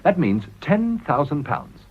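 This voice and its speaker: A man's voice: on the high side, very animated, measured pace, breathy edge, full character voice. An actor playing a ruthless, desperate middle-aged businessman in a radio drama, with a British accent and a blustering, wheedling delivery. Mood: persuasive. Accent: British English. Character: ruthless, desperate, smooth-talking male businessman and political climber. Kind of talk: radio play acting. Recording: dull, muffled old radio recording.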